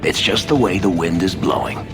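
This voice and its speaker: Low-voiced roguish storyteller, played by a man. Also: moderate pace, lightly acted. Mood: knowing, confiding. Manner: wry, teasing, conversational. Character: wry, roguish male storyteller and tavern gossip. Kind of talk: video game dialogue acting. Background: game music.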